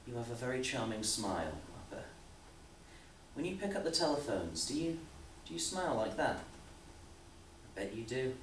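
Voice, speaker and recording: A man's voice: mid-range voice, very animated, normal speed, long pauses, lightly acted. Man acting Elwood, a volatile, overbearing boss. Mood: insistent flattery. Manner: rambling, insistent, with rhetorical questions and sudden swells. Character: Elwood, overbearing, needling male boss fretting about the young. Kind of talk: theatrical stage monologue. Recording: roomy, distant mic, faint background noise.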